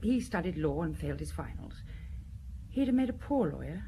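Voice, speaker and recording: A composed secretary answers questions candidly in an audio drama. Her voice is low and very mellow, with confiding hesitations that sink toward breathiness. A light ambient noise sits behind her. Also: sing-song, fairly quick, rough recording. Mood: wistful, candid. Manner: hesitant, confiding, dropping to breathy near-whispers.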